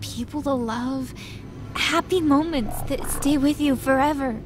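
A woman's voice: high voice, a little slow. A woman playing an earnest, hopeful, protective young woman in a visual novel game, speaking emotionally. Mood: earnest, pleading. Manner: emotional, pleading, indignant. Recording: music under the voice.

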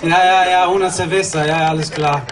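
A man's voice: sits high, flat delivery, a little fast. A man in his thirties, a singer, chatting and joking with the audience between songs on an outdoor party stage, laughing often as he talks into a handheld mic. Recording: distant camera, loud crowd and music.

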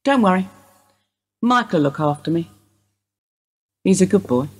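Woman playing bereaved mother, husky, composed, understated, weary, radio drama. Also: fairly low pitch, measured pace. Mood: quietly composed. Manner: calm, understated, dry. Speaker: actor in a radio play. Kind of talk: naturalistic radio drama acting.

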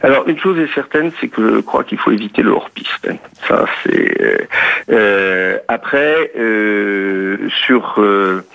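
Halting low-voiced man on a dull line. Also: animated, vocal fry. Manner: halting, hesitant, cautious, repeats words. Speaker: government official being interviewed. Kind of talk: radio news interview, spontaneous answers. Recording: dull, muffled radio interview line.

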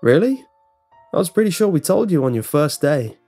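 A man's voice: fairly high pitch, sing-song, moderate pace, warm tone, slightly rough, faint music behind, voice pushed up, heavily acted. A man voicing an older male co-worker in a horror story, a wry gossip who recalls things in a casual, rambling way. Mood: wry, conversational. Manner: casual, conversational, rambling recollection.

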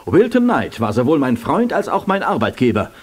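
An actor playing a prim, formal older gentleman administrator in a radio play, precise and somewhat pompous. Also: fairly high pitch, wildly swooping pitch, normal speed, breathy edge, heavily acted. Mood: stiff, dismissive.

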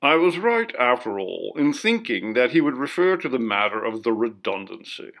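Man for ghost story narration: formal, measured reader, animated intonation, some vocal fry. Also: medium pitch. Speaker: audiobook reader.

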